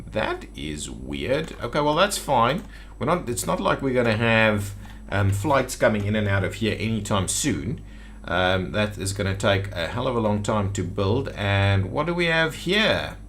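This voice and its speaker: A man with a fairly low voice hosts a gameplay video in a casual style. He thinks aloud and mutters to himself as he works at the computer. Faint background noise and keyboard clicks sit behind his voice. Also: very animated, measured pace, few pauses, fairly bright tone. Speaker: gaming video host. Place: indoors at a computer.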